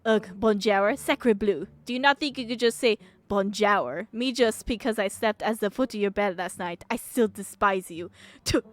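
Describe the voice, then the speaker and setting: A woman's voice: mid-range voice, moderate pace, natural voice. A woman voicing a pompous, disdainful house cat with a French accent in a video game. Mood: scornful. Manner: pompous, scornful.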